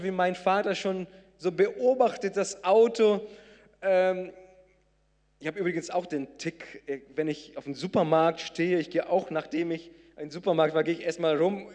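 A man's voice: sits high, darkish tone. Man preaching in a reverberant hall. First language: Portuguese. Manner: conversational, many fillers and restarts, rising to emphatic. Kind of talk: sermon with a personal story. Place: church hall before a congregation.

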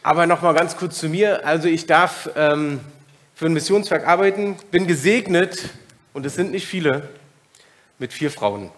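A man's voice: fairly high pitch, moderate pace, a bit bright. German man preaching casually to a congregation. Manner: informal and personal, many filler sounds. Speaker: guest preacher. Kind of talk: church talk to a congregation.

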